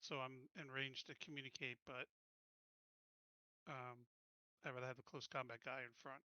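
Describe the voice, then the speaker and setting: A man's voice: medium pitch, level tone, moderate pace, bright, forceful tone, clean tone, no room sound. A man talking casually as a player in a tabletop roleplaying game.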